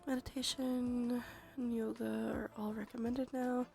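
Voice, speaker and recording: A woman livestream host speaking in a soft, breathy, often whispered voice, murmuring casually and unhurriedly to viewers in an ASMR tarot style. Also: on the high side, level tone, unhurried, faint music behind. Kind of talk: ASMR tarot reading stream.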